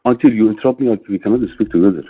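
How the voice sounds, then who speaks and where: A man calling into a live debate, speaking haltingly with many fillers and asking not to be interrupted. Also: lowish voice, slightly rough. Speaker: caller. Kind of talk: phone call into a live debate. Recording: tinny phone line.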